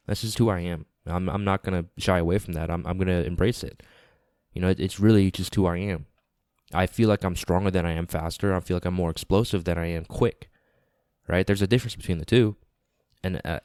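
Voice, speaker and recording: A man giving a casual, earnest podcast monologue, repeating phrases for emphasis, slightly raspy and nasal with congestion from a lingering cold. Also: deep voice, darkish tone, no room sound, studio quality. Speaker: podcast host. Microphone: close podcast microphone.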